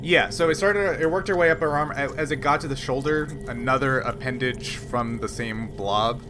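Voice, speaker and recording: A man narrating as game master of a tabletop role-playing game, halting and restarting often as he describes the action. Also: mid-range voice, noisy background. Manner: halting, filler-heavy narration with frequent restarts.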